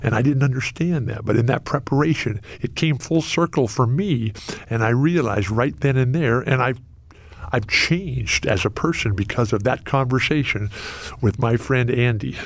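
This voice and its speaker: A man's voice: mid-range voice, moderate pace, no echo. A man in his sixties who stutters, telling stories energetically as an interview guest. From Los Angeles. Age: 60s. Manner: lifelong stutterer, energetic storytelling. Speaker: radio broadcaster, guest. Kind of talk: interview conversation.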